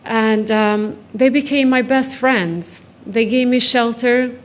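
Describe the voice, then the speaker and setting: Higher-voiced woman giving personal testimony. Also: slow. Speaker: guest speaker, trafficking survivor and author. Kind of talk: personal testimony told to a conference audience.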